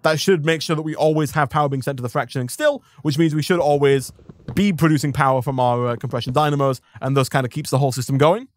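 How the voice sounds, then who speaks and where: A man commentating his own live gameplay stream in an upbeat, rambling way, thanking viewers, his voice a little hoarse. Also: fairly high pitch, quick pace, no room sound. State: slightly hoarse.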